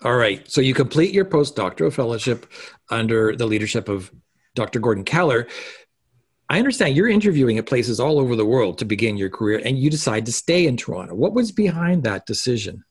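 A man hosting a podcast, reading a scripted introduction and then interviewing a guest in a polished, measured way. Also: mid-range voice, sing-song, slightly rough. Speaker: podcast host.

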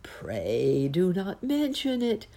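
A woman's voice: fairly low pitch, huge pitch swings, takes it slowly, mellow, pitched above the performer's own voice, exaggerated voice. A woman voicing a modest, shy elderly male rabbit in a storybook, courteous and a little bewildered. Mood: polite, modest. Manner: courteous, old-fashioned, hesitant phrasing.

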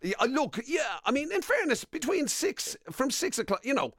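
A man's voice: very high voice, sing-song, normal speed, fairly bright tone, no room sound, clean studio sound. An Irish man co-hosting a radio show in casual, wry banter, often sounding mock-indignant.

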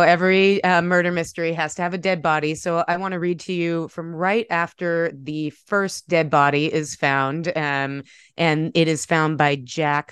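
An American woman, an author speaking in an articulate, measured way with a smooth, clear voice. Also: low voice, no echo. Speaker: debut author, guest. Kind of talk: conversational interview talk and prose reading from own novel.

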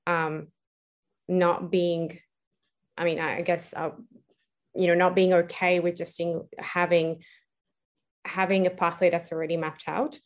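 Young adult woman, lowish voice, interview guest, reflective and full of fillers. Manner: reflective, many fillers and restarts. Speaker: guest, entrepreneur. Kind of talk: casual interview answers.

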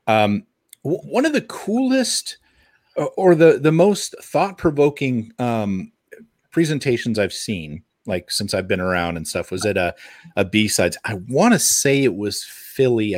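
A man's voice: lowish voice, no room sound, clean studio sound. A man hosting a podcast in casual chat, with an enthusiastic, jokey way of talking. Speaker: podcast host.

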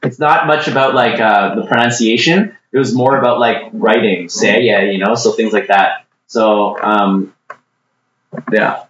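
A man's voice: on the low side, unhurried, fairly bright tone, distant mic, slight background noise. Male podcast host, loose and rambling, recorded off-mic in a roomy space with a dull, lo-fi sound. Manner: rambling, heavy on "like" and "um". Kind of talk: casual chat.

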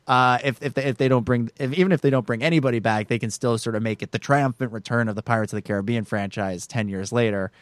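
A man's voice: medium pitch, fast talker, hardly any pauses, dry recording. A man co-hosting a casual film discussion, hesitantly repeating and rephrasing his words as he thinks aloud. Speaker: podcast co-host.

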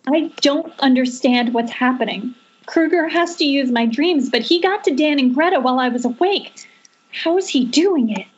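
A woman's voice: high-pitched, moderate pace, natural voice. A woman reading the part of an earnest, worried young woman in an amateur horror script table read over an internet call. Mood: anxious, insistent. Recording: muffled, noisy internet call.

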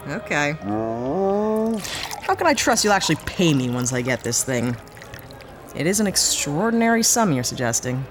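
A woman's voice: deep voice, big pitch swings, measured pace, long pauses. A woman acts out a hard-up female spaceship pilot in a sci-fi audio drama. She is sarcastic and doubtful, with very varied intonation and hesitant asides, over faint music. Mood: wry, bargaining.

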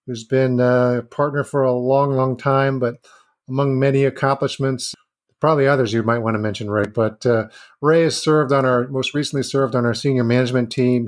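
A man hosting an interview podcast, speaking in loose, rambling sentences. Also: mid-range voice. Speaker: podcast host. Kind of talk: conversational interview.

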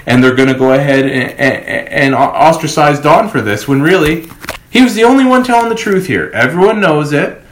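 A man's voice: on the high side, normal speed, slight background noise. Man in an echoey room, emphatic talker. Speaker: podcast host. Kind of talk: opinionated casual discussion. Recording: roomy, echoing podcast recording.

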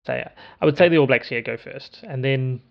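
A man's voice: mid-range voice, a little fast, gravelly, crowd noise. A man, co-hosting a podcast in casual chat, hesitant and rambling with many ums and restarts. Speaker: podcast co-host. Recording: dull lo-fi podcast audio.

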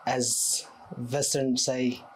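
A man's voice: mid-range voice, level tone, drawn-out words, slight background noise. A man in his twenties from Turkey with a Turkish accent, speaking English as a podcast guest in a conversational way. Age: 20s. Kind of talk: conversational interview answers.

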